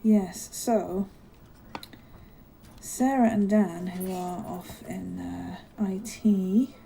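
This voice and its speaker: Woman playing a friendly giantess coworker, soft mellow voice. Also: medium pitch, gravelly, slight background noise, rough recording. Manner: bubbly, caring, apologetic, hesitant with soft laughs. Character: friendly chatty giantess office worker greeting her tiny coworker. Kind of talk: ASMR roleplay, one-sided conversation.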